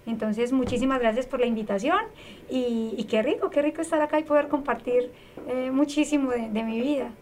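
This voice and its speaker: A woman with a Colombian paisa accent from Medellín, telling her story warmly as an interview guest, with lots of fillers. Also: high-pitched, a little fast, slight background noise. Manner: warm and effusive, frequent fillers.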